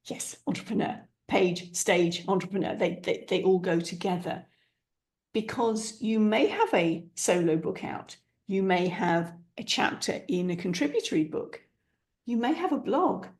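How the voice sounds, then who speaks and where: A woman's voice: mid-range voice, expressive, a little slow, a little mellow. A woman hosting a podcast, chatty and encouraging, with playful asides to listeners. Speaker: podcast host. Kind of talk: upbeat podcast intro.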